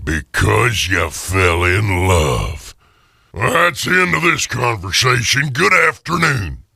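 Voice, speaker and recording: A man playing a bitter, miserly old businessman in a radio drama, with a gravelly, raspy voice and a curt, sarcastic manner. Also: on the low side, animated, slow, bright, forceful tone, dry recording, studio quality, exaggerated voice. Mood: scornful.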